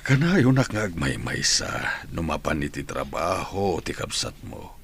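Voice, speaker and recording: A man's voice: extremely low pitch, sing-song, unhurried, fairly bright tone, slight background noise, heavily acted. A man playing an ailing, lonely, tender old grandfather in an Ilocano radio drama, with an Ilocano accent and a weary, emotional delivery. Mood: weary, gentle.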